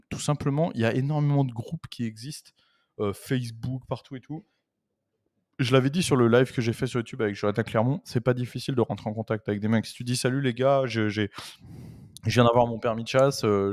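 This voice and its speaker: A man's voice: medium pitch, a little mellow, no room sound, clean studio sound. A man as a guest on a casual live chat, rambling with fillers and blunt slang.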